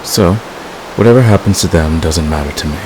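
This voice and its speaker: Over steady hissing, stream-like outdoor noise comes a man acting as a fantasy villain with an extremely low voice. He is smug and seductive, murmuring to a secret lover in unhurried, teasing ASMR roleplay. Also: close to an everyday voice. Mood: cool, detached. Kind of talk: romantic audio roleplay, intimate ASMR acting.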